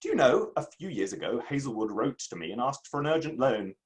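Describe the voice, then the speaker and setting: An actor playing an eccentric, pedantic Victorian playwright with a breezy, pompous manner, in a dramatic play reading. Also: male voice, mid-range voice, big pitch swings, quick pace, lightly acted.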